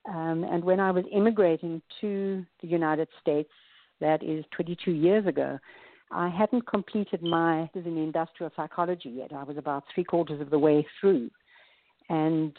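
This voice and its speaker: South African woman guest in her 40s, low voice, dry tinny phone audio. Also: steady tone, moderate pace, dry recording. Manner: warm storytelling with small laughs and restarts. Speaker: guest wellness coach. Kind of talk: casual interview.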